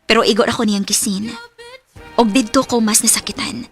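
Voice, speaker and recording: A woman's voice: a little high, fairly even intonation, fairly quick, creaky. A woman playing a heartbroken, wistful thirty-year-old single woman in a radio drama, with a Cebuano Filipino accent. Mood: hurt, sorrowful. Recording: music under the voice.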